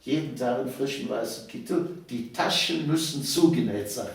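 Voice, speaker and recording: An older man tells memories in a casual, conversational way. His voice has a creaky edge and a medium pitch. The room echoes heavily and he sounds away from the microphone. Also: normal speed. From Cologne, Germany. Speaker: interview guest, author. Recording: very echoey room, distant mic.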